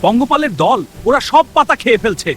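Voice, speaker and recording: A man's voice: extremely high pitch, expressive, fairly quick, a bit bright. A man voicing a small, plucky, boastful carrot hero in a children's cartoon. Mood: alarmed. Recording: music behind the voice.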